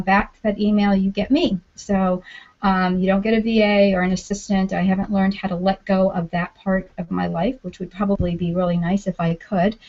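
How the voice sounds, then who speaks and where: A woman hosting a casual group video chat, chatty and self-joking, talking over a call mic. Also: mid-range voice. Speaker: podcast network host. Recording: dull lo-fi online call audio.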